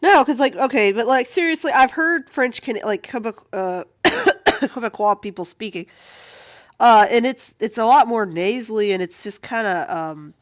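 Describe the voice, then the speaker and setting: A woman artist chatting casually and with amusement on a livestream while she draws, in a rambling, animated way. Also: on the high side, normal speed, no echo. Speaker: comic artist streaming while drawing.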